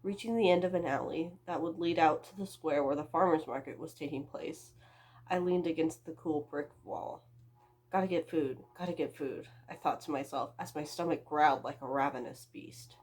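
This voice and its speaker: A woman's voice: low voice, moderate pace, a little mellow, slightly rough, low-quality recording. A young woman reading her own story aloud as a book vlogger, with a dramatic, self-mocking delivery and amused asides.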